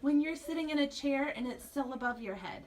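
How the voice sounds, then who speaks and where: A woman's voice: sits high, animated, fairly quick, noisy background. A woman chatting casually as co-host of a family vlog.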